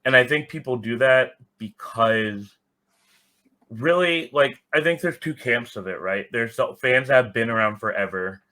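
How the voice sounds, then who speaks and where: A man's voice: mid-range voice, measured pace, sharp tone. A man speaking as a podcast guest, giving casual, reflective explanations and checking that he is understood. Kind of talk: casual conversational interview answers.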